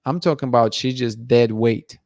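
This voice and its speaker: A man in his thirties hosting a night-time livestream, bluntly giving his opinions and reading chat comments aloud, his voice going hoarse. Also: mid-range voice, steady tone, normal speed, a little mellow, no room sound, clean studio sound. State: losing his voice, clearing throat. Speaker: livestream host. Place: dim room at night, indoors. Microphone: studio mic in front of him.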